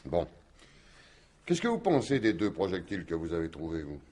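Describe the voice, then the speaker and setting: A man's voice: deep voice, very animated, moderate pace, mellow. A man playing a courteous, methodical, slightly weary police commissioner in a radio crime drama, murmuring and repeating short phrases. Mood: brisk, businesslike. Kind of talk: 1950s-style French radio crime drama acting.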